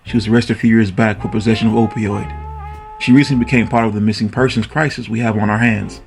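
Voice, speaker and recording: A man's voice: lowish voice, fairly even intonation, fast talker, creaky, faint music behind. A man playing an eager, awkward young rookie policeman in a radio drama, polite and nervously formal. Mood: earnest, explaining.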